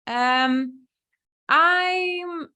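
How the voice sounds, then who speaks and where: A young woman, speaking English as an at a slow, easy pace. She answers viewer questions through a computer mic, pausing to think aloud with frequent ums and small restarts. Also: very high voice, bright, forceful tone. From Finland. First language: Swedish. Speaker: webinar host, crochet designer. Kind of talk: live webinar Q&A.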